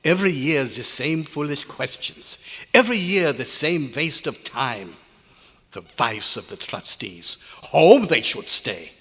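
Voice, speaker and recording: Man acting a husky, cantankerous old scientist, hall echo. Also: mid-range voice, animated, unhurried, fairly bright tone, heavily acted. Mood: grumbling. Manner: grumbling, exasperated, inverted foreign-sounding phrasing. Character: cantankerous old male research scientist, grumbling yet fond. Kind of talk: old-time radio drama recreation performed live. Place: large hotel meeting room at a convention. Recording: echoey hall, live stage performance.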